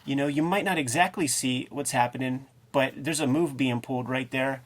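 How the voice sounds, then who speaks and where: A man's voice: medium pitch, normal speed. A man co-hosting a review show in casual, slangy, rambling commentary. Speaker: co-host of a comics review show.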